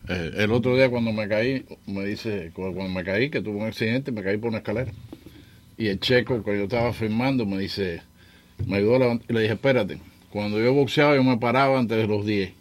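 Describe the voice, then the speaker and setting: An older man hosts a casual radio talk show. His voice sits low, and he tells his stories with jokes and easy laughter. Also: a little fast. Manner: jokey storyteller, laughs often.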